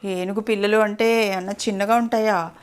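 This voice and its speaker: A woman's voice: mid-range voice, fairly even intonation, moderate pace, clean tone. A woman telling a story to a child in a simple, explanatory way, often asking questions.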